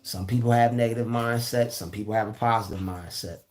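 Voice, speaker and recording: Raspy man giving a motivational talk. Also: fairly low pitch, fairly even intonation, a little fast, a little mellow, faint music behind. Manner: repeats phrases, preachy and earnest. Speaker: motivational vlogger.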